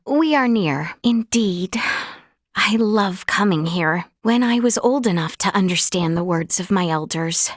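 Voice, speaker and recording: An actress playing an earnest, gracious young princess in a fantasy video game, with a clear youthful voice and formal, measured, archaic courtly phrasing. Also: female voice, mid-range voice, no echo, studio quality. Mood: gentle, hesitant, then wistful, solemn. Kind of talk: scripted video game voice acting. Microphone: close studio mic, dry.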